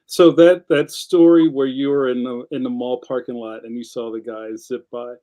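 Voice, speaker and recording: A man's voice: medium pitch, fairly even intonation, normal speed, mellow. A man interviewing a guest in a casual, conversational podcast style.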